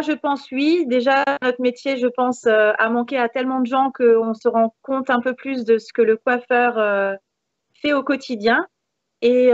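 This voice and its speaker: A woman's voice: fairly high pitch, no room sound. A woman in her thirties giving conversational interview answers in an upbeat way over a video call. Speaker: interview guest, hair salon manager. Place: indoors in a room with a beamed ceiling. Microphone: video call, device mic. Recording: dull, lo-fi video call audio.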